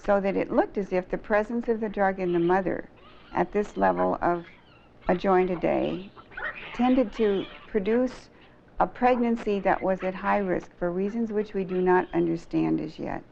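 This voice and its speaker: Creaky-voiced woman scientist explaining research. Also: medium pitch, darkish tone. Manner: measured, halting, precise scientific phrasing. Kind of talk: explanatory interview testimony. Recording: dull, slightly noisy old film interview.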